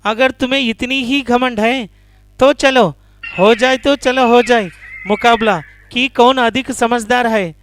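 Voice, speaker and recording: A man's voice: very high voice, steady tone, measured pace, a bit bright, slight background noise, pitched above the performer's own voice. A man voicing a cunning, vain female fox in a children's animal story, bragging and argumentative. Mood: indignant, challenging.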